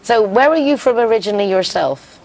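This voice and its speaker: A woman's voice: medium pitch, lively intonation, brisk, a little mellow. Brief: female TV talk host, effusive and complimentary, mid-range voice. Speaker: TV show host. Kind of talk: interview talk show.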